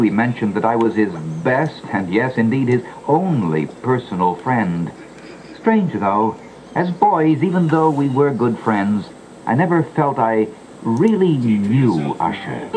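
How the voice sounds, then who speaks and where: Loud music plays under an old cassette recording of a gothic audio drama. a brooding gentleman narrator, uneasy and theatrical. His low voice rises and falls widely, with deliberate dramatic pauses. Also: low-quality recording. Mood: anxious musing. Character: gloomy gentleman narrator, uneasy boyhood friend visiting a decaying mansion. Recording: old cassette dub re-recorded through boombox mics.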